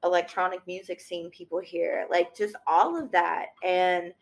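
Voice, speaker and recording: A woman, chatting in a loose, slangy way and dropping "yo" and "like" everywhere. Her voice sits on the lower side for a woman. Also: a little slow, vocal fry. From Tennessee. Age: 30s. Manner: slangy, animated, peppered with "like" and "yo". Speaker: thrift and sewing guest. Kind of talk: casual podcast conversation.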